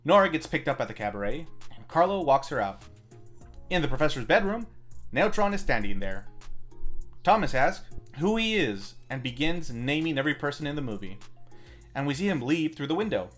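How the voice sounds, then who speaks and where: A man hosting a film review, reading a scripted movie recap with deadpan, wry asides over music. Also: mid-range voice, moderate pace. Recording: music bed under the voice.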